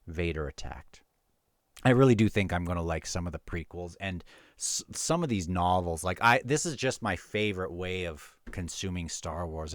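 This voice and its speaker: Casting: male book vlogger, low pitch, dry close sound, earnest and halting delivery. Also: no room sound. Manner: earnest, halting, repeats words while thinking aloud. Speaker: book reviewer and reader. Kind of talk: casual book discussion mixed with read-aloud novel passages.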